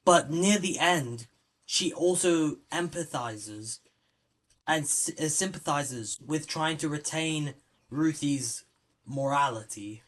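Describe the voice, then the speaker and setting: A man's voice: on the high side, slow, fairly bright tone. A man hosting a casual podcast, debating a film with a co-host and often restarting his sentences. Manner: talks in restarts, steers the discussion.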